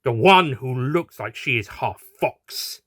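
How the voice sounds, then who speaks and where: A man voicing a large, vengeful horse villain in an audio story, with a booming, pompous, snarling delivery. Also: animated, slow, a bit bright, no room sound, clean studio sound. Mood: flustered. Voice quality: deep, booming.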